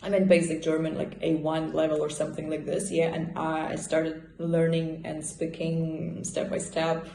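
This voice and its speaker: A woman's voice: low-pitched, completely flat delivery, normal speed, vocal fry, hardly any pauses, warm tone, a little hiss or hum. A woman from Russia, a native Russian speaker with a Russian accent, chatting casually and expressively as she answers viewers' questions in a vlog. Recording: roomy indoor echo.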